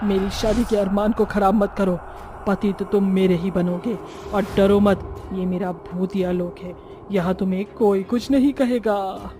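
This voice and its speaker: A woman's voice: mid-range voice, steady tone, unhurried, background noise, lightly acted. A woman voicing a young, lovestruck and bossy witch bride in a horror-comedy cartoon. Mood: insistent.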